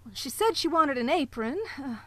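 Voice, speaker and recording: A woman's voice: high voice, animated, moderate pace, dry recording, low-quality recording. Woman playing timid, hesitant sheriff's wife. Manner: hesitant, nervous, dutiful. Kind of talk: live audio drama. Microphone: home closet mic, dry.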